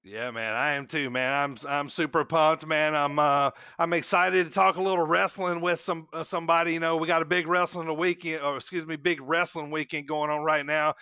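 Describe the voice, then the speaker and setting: A man's voice: on the high side, steady tone, moderate pace, few pauses, bright, forceful tone, clear, resonant tone, dry recording. A man hosting a wrestling podcast in a loose, rambling way, padding his talk with "man" and "you know". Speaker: podcast host. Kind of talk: casual wrestling podcast chat.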